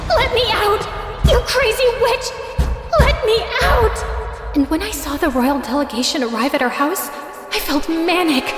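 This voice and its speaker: A woman's voice: very high voice, expressive, measured pace. A woman playing a passionate, wronged young stepsister, furious and heartbroken, telling her story dramatically. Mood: frantic. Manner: intense, emotional delivery. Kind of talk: dramatic first-person story narration. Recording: reverberant voice over prominent music.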